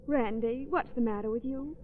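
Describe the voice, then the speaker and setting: A woman's voice: fairly high pitch, normal speed, natural voice. An actress playing a spirited, caring and persistent young society woman in a 1930s radio drama. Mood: insistent. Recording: tinny, hissy 1930s radio recording.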